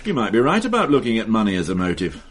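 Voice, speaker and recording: An actor playing a sharp-tongued police inspector with a dry, sardonic, commanding manner in a radio drama. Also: male voice, lowish voice, wildly swooping pitch, fast talker.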